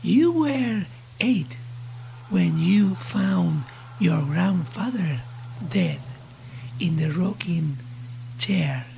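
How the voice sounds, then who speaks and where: A woman's voice: lowish voice, lively intonation, drawn-out words, vocal fry, long pauses, dark tone, a little hiss or hum, heavily acted. A woman voicing a sinister radio voice in a horror audio drama, taunting and menacing in the manner of a mock-friendly presenter. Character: sinister taunting radio voice that knows a man's fears.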